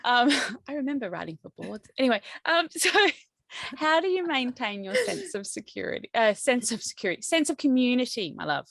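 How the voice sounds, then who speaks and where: A woman's voice: high-pitched, very animated, a little fast, creaky, a bit bright, dry recording, clean studio sound. A woman hosting an interview, affirming her guest with enthusiasm and frequent laughter. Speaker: interview host. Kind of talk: conversational interview.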